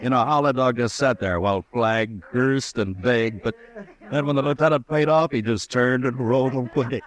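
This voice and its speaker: An actor playing a calm, steady frontier marshal who speaks in an even, measured, dry way, in 1950s radio drama style. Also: male voice, medium pitch, natural voice.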